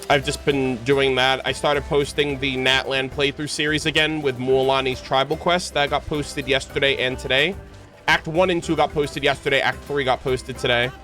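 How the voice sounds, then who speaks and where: A man chatting casually on a livestream as he streams games, rambling along in a halting way. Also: a little high, fairly bright tone. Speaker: game streamer. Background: background music.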